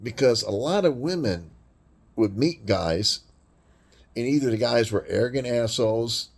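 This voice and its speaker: A man with a deep voice delivering a blunt, crude, rambling monologue rant full of swearing. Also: lively intonation, a little slow, creaky, breathy edge. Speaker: vlogger.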